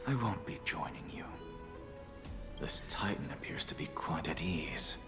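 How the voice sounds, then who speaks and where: An actor playing a proud, sardonic heretic scholar in a video game, with a haughty, dry, theatrical delivery. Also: male voice, extremely low pitch, lively intonation, unhurried, in a whisper. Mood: dismissive. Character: proud, sardonic male heretic scholar, aloof and self-assured. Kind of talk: scripted video game voice acting. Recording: loud game music behind.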